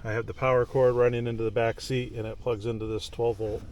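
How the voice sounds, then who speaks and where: A man's voice: mid-range voice, fast talker. A man giving casual, informal vlog narration, explaining gear step by step in a plainspoken way. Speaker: vlogger testing CB radio gear. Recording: steady background noise, vehicle sounds.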